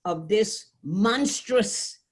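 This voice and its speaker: Woman, nursing professor, mid-pitched, measured, solemn, formal remarks, video call. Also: lively intonation, slightly rough. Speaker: nursing professor and department chair.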